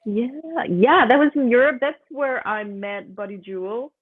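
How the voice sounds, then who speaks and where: A woman's voice: on the high side, normal speed. A young woman with a Swiss German accent, a guest musician talking in a chatty way and laughing often. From Switzerland. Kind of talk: casual interview chat. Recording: tinny phone-like line.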